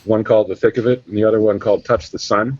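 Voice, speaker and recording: A man's voice: on the low side, steady tone, fast, darkish tone, dry recording. A Canadian man, a podcast guest, chatting casually and rambling with many fillers. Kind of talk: casual interview chat. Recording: dull, dry sound.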